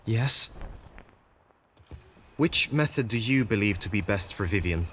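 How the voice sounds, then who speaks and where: Earnest, lovesick knight, noisy surroundings. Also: male voice, lowish voice, lively intonation, a little slow, a bit bright. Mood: earnest, resolute. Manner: formal, florid, archaic courtly phrasing. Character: earnest, lovesick male knight, anxious and gallant. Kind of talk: dramatic video game acting.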